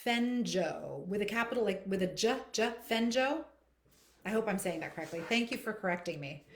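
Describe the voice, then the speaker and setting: A woman's voice: medium pitch, animated, moderate pace, a little hiss or hum. A middle-aged woman speaking as a voice coach in a live Q&A, explaining things reflectively and addressing listeners directly in a conversational way. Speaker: voice coach and therapist hosting a live Q&A. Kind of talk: conversational live Q&A, answering audience questions. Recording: echoey, roomy sound.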